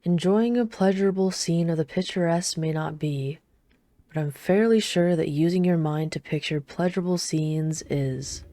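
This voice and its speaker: A woman acts out a reserved knight in a fantasy ASMR roleplay. Her voice is deep and velvety, recorded close and dry, with formal, wry restraint. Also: moderate pace, warm tone, dry recording, natural voice. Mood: stern, scolding. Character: reserved, dutiful female knight, dryly scolding royal lover.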